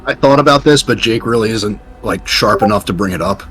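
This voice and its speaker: Fast-talking man with a lowish voice. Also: fairly bright tone, husky. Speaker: tabletop game player. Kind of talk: casual out-of-character game talk. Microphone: online voice call.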